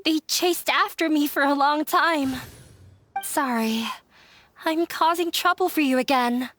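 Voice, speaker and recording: A woman's voice: high-pitched, a little slow, fairly bright tone, a little hiss or hum. A woman voicing a downcast, self-blaming young runaway in a video game, speaking hesitantly and apologetically. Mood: apologetic.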